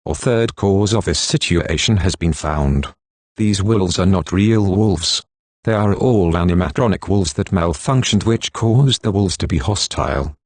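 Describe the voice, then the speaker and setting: A synthetic text-to-speech man's voice reading a scripted emergency broadcast announcement in a formal, measured, impassive manner. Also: deep voice, a little mellow, no echo.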